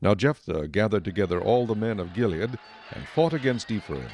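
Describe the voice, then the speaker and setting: A man narrating scripture for a dramatized audio Bible in a measured, formal storytelling manner. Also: lowish voice, lively intonation, darkish tone, slight background noise.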